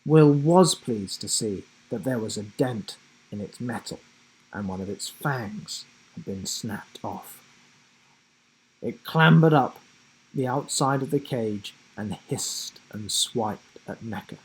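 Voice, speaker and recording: A man reading a children's story aloud as narrator, in an expressive, dramatic way. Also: mid-range voice, takes it slowly, husky, rough recording.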